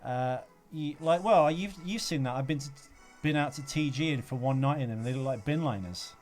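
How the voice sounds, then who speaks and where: A man's voice: mid-range voice, normal speed, faint music behind. A man co-hosting a podcast, chatting casually about a film with a teasing, sweary manner. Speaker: podcast host.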